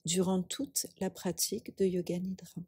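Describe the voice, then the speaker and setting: A woman guiding a yoga nidra meditation as a teacher, calm and unhurried, in soft breathy short phrases with long pauses. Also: fairly low pitch, dry recording. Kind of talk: guided meditation.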